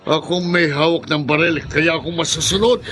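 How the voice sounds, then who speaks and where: An actor playing a ruthless armed kidnapper in a radio drama, menacing and taunting. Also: male voice, a little high, steady tone, normal speed, fairly bright tone, close to an everyday voice. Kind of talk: 1970s-style radio drama acting.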